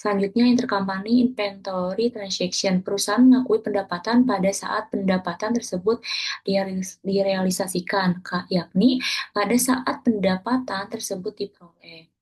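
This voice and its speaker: A woman's voice: mid-range voice, steady tone, moderate pace, a little mellow, husky. A young woman student presenting an accounting class lesson, reading steadily from her slides and correcting small slips as she goes.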